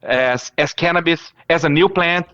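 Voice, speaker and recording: A man in his thirties from Brazil with a Brazilian accent, a professor answering interview questions in halting speech full of fillers and restarts. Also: fairly high pitch, fairly bright tone, muffled recording. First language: Portuguese. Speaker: professor guest.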